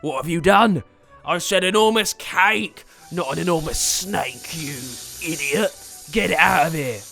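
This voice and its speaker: A man voicing a smug, bossy evil wizard in a children's story, blustering and shouting in rage. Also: mid-range voice, lively intonation, slow, faint music behind, voice pushed up. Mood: angry, bossy.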